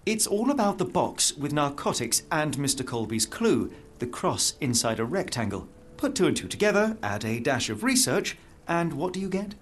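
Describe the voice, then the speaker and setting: Higher-voiced, self-assured British detective. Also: male voice, very animated, moderate pace, a little hiss or hum. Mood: confident, brisk. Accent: British English. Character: cerebral, brisk, self-assured young male detective. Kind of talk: dramatic video game cutscene acting. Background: music.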